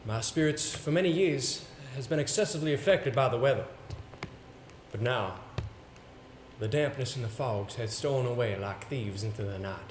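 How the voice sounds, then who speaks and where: An actor playing a pompous, exasperated theatre coach in an amateur stage play, with a sarcastic, emphatic delivery. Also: male voice, mid-range voice, moderate pace, close to an everyday voice. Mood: measured storytelling. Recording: roomy stage recording with faint noise.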